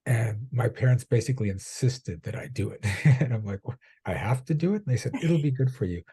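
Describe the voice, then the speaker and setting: A man's voice: mid-range voice, animated, a little fast, warm tone, husky, no room sound. A man from South Africa, raised in Canada, talking as a guest in a casual interview, friendly and a bit hesitant. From born in South Africa, raised in Canada. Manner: friendly, hesitant fillers, chuckles.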